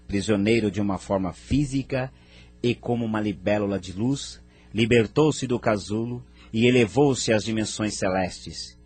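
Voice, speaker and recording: A man's voice: on the low side, darkish tone, slightly rough. A man playing a wise, courteous spirit mentor in an audio drama, explaining things formally and didactically.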